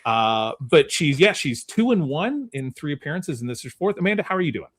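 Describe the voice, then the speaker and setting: Animated man hosting a casual podcast. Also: fairly high pitch, fairly quick. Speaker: podcast host.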